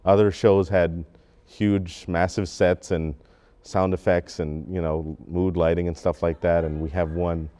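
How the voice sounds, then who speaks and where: Deep, smooth, mellow young man. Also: flat delivery, a little slow. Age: teens or young adult. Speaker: high school drama cast member. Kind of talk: casual reflective interview.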